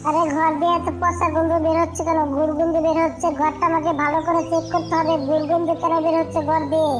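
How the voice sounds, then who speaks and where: Fussy cartoon lady bird played by a woman, very high, little pitch variation, complaining and scolding. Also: little pitch movement, fast talker. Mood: puzzled. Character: fussy, scolding female cartoon birds, mothers and neighbours. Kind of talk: cartoon story dialogue. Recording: music behind the voice.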